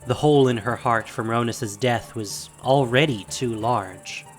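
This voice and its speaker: A man's voice: medium pitch, measured pace, faint music behind. A man narrating a scripted fantasy audio drama in a dramatic storytelling style.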